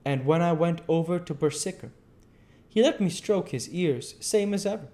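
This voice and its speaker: High-pitched man reading a novel, lively intonation. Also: moderate pace. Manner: stumbles and repeats phrases while reading. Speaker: amateur audiobook reader. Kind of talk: reading a classic novel aloud.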